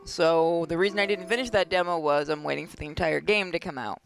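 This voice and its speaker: A let's play gamer with a slightly hoarse, under-the-weather voice, reading narration aloud with joking, self-mocking asides. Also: mid-range voice, fast, faint music behind. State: slightly hoarse, getting sick. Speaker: gaming YouTuber.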